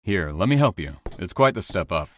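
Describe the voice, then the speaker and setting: Man acting reserved train operator, very deep voice, measured, courteous, quietly intense, veiled menace, audio drama. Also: big pitch swings, slight background noise. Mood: inviting.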